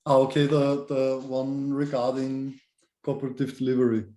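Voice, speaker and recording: A man with a very dark, mellow voice leads a casual online work meeting. He speaks plainly and asks measured questions. His voice comes through an echoey room on a video call. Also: mid-range voice, little pitch movement.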